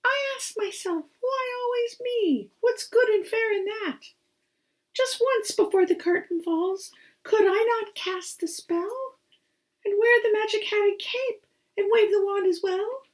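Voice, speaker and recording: A woman's voice: very high voice, a little slow, pitched above the performer's own voice. A woman voicing a small, ashamed magician's rabbit in a storybook, with a muffled, plaintive, sniffly voice. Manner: plaintive, whispery confessing.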